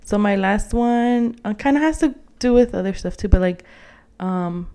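A woman's voice: mid-range voice, moderate pace, mellow. A woman co-hosting a podcast in casual personal chat, thinking aloud in a hesitant, conversational way. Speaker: podcast co-host.

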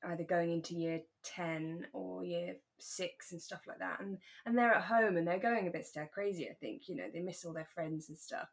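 A woman's voice: lowish voice, fairly even intonation, normal speed, creaky, hardly any pauses. A British woman in her thirties chatting casually and animatedly as a podcast co-host. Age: 30s. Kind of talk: relaxed casual chat about a radio drama.

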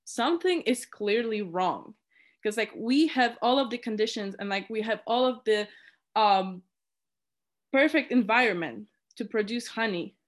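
A young woman from Poland, a native Polish speaker, chatting casually as a committee chair in an interview and filling her talk with "like" and restarts. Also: medium pitch. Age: young adult. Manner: chatty, many "like" fillers and restarts.

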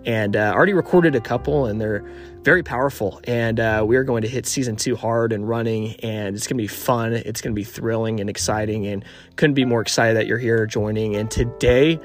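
A young man hosting a podcast, eagerly welcoming listeners and repeating excited, upbeat phrases as he introduces and questions his guest. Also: on the low side, a little fast, faint music behind. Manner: enthusiastic, repetitive hype phrases. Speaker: podcast host.

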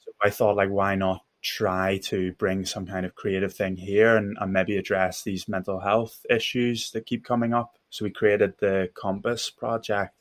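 Low, even-toned Belfast man. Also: a little slow, hardly any pauses. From Northern Ireland. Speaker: podcast guest, mental health first aid instructor. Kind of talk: reflective conversational interview.

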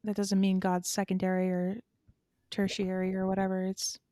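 A woman's voice: mid-range voice, completely flat delivery, moderate pace, dark tone, no room sound, studio quality. A woman co-hosting a casual faith podcast, speaking thoughtfully and steadily. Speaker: podcast co-host.

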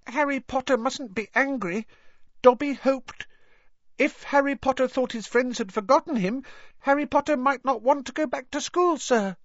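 A man voicing a small, anxious, servile house-elf in an audiobook, with a squeaky, strained voice and a pleading, earnest manner. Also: normal speed, fairly bright tone, no room sound, lo-fi sound. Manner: pleading, servile, refers to self in third person.

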